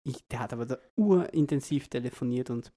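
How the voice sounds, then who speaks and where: A man's voice: medium pitch, fairly quick, dark tone. A man with a Viennese accent co-hosting a casual podcast chat, rambling through funny stories and laughing mid-sentence. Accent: Austrian, Viennese. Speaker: podcast co-host.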